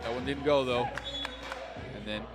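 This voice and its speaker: A man calls a basketball game in English. His voice sits in an ordinary middle pitch. His delivery is relaxed and chatty, and it rises when the big shots fall. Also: moderate pace, over loud music, over a noisy crowd. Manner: conversational, excitable on big shots. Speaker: play-by-play commentator. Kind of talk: live basketball commentary.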